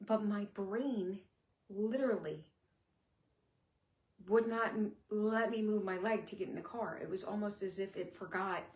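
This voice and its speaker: A middle-aged woman talking candidly on a live stream, her speech sometimes a little slurred, with many pauses and fillers. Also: medium pitch. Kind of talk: casual live-stream talk. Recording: echoey room.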